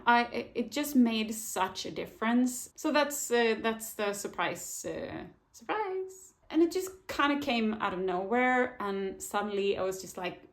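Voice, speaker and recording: A woman chatting casually to camera about her reading year, often hesitating and restarting her sentences. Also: medium pitch. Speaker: book vlogger. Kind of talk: casual book talk to camera.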